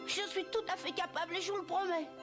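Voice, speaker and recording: A woman voicing a naive, earnest little wooden puppet boy in a children's animated film. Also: very high voice, fast talker. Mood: earnest, grateful. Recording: loud music behind the voice.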